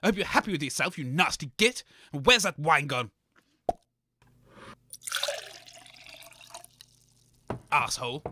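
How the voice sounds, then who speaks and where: A man's voice: fairly high pitch, expressive, a little fast, background noise, natural voice. A man playing a grumpy, wounded British radio co-host and would-be comedian, petulant, indignant and sweary in comedy banter. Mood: bitter, sulking.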